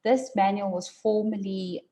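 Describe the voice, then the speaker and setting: A woman from South Africa, an attorney giving a formal conference presentation in a measured way, with frequent fillers and repeated words. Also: mid-range voice, little pitch movement, warm tone.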